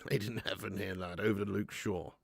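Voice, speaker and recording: A man hosting a live fan watch-along of a football match at home, chatting to viewers with sweary banter and bursting into loud cheering at chances. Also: low voice, steady tone, fairly quick, creaky, breathy edge, dry recording, studio quality. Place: at home in front of a camera.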